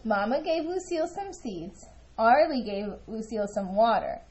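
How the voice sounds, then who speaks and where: A woman reads a children's picture book with a fairly high, very melodic voice. Her tone is soft rather than sharp, and she narrates with animated expression. The recording is a little dull and lo-fi. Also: normal speed. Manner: animated, acts out dialogue. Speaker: storybook reader.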